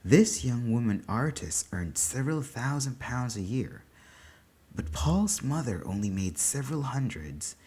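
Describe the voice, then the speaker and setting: Story reader: unhurried, deliberate delivery, highly varied intonation, dramatic literary style. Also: very animated, warm tone, breathy edge. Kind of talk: dramatic literary read-aloud narration.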